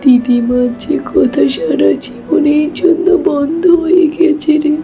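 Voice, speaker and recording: A woman voicing a devoted, grieving village grandchild in a cartoon, with a high and plaintive voice. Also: a little slow, warm tone, soft background music. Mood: mournful.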